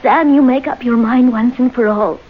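Actress as seductive mistress on dull vintage radio. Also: female voice, on the high side, normal speed, lightly acted. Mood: pressing, plaintive. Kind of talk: old-time radio drama acting. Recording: dull old radio recording, faint noise.

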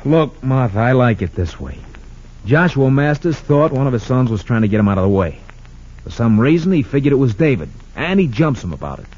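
An actor playing a blunt, dogged American police lieutenant in old-time radio drama style, matter-of-fact and clipped. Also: male voice, mid-range voice, sing-song, fairly quick, a little mellow, slightly rough. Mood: skeptical, insistent. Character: blunt, dogged male police lieutenant, sure of his theory. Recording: muffled 1940s-50s radio recording.

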